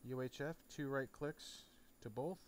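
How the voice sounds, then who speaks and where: Man, mid-pitched voice, tutorial presenter, casual instructional narration, background noise. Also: unhurried. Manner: informal, instructional. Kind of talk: casual step-by-step tutorial narration over a flight simulator. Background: steady noise, game sound off.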